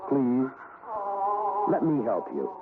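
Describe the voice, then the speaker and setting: An actor playing an earnest male ghost hunter in a 1970s radio drama, speaking in a measured, persuasive, soothing way. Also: medium pitch, sing-song. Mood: urgent, soothing. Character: earnest male ghost hunter who talks gently to spirits. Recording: noisy, thin, phone-like old radio recording.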